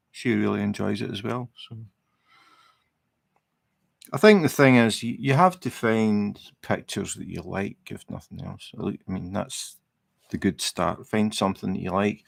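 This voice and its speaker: A middle-aged Scottish man chatting casually on a livestream while he crafts, relaxed and rambling, with many fillers and restarts. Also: on the low side, gravelly, no room sound. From Scotland. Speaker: livestream host. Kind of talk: casual chat while crafting, reading viewer comments.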